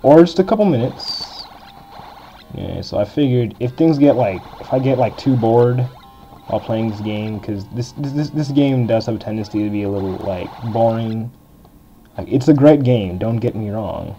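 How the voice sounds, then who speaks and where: A young man with a deep voice giving casual, rambling commentary while playing through a retro video game. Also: normal speed, dark tone. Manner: chatty, rambling, filler-heavy. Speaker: game walkthrough host. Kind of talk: casual let's-play commentary. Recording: dull lo-fi game recording. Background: faint game music.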